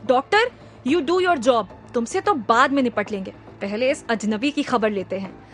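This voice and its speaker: A woman voicing an enraged, accusing man who shouts threats and mixes English into Hindi. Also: extremely high pitch, lively intonation, moderate pace, faint music behind, full character voice. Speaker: figure in a hospital thriller story.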